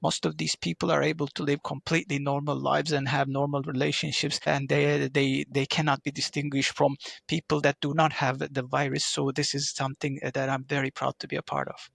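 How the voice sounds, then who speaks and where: Man, middle-aged, from Turkey, gravelly, husky, explanatory with fillers. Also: a little high, little pitch movement, brisk, few pauses, fairly bright tone, no echo, clean studio sound. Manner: explanatory, frequent fillers and restarts. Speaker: guest, pharma digital manager. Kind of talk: interview answers.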